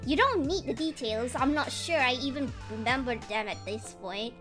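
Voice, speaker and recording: A woman reading a novel aloud as a sassy, excitable teen demigod boy, in a small voice. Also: sits high, normal speed, soft background music, pitched below the performer's own voice. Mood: wry.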